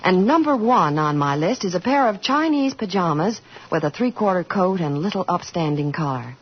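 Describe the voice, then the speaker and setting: Casting: American woman for vintage radio commercials, lowish husky voice, scripted banter. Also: lively intonation, moderate pace. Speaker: commercial presenter. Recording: dull 1940s radio recording.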